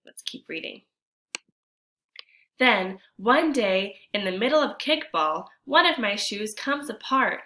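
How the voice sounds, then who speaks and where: Female primary-school read-aloud teacher. Bright, animated storytelling, medium pitch, speaks directly to kids. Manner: addresses children directly, deliberate and animated. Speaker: teacher reading a picture book aloud to children. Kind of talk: expressive storybook read-aloud with questions to young listeners.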